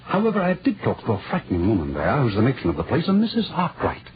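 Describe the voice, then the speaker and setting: An actor playing an earnest, agitated British lord with a formal manner, in 1940s radio style. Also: male voice, mid-range voice, huge pitch swings, fast talker. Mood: suspicious. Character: earnest, agitated young English lord seeking justice. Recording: muffled, hissy old radio recording.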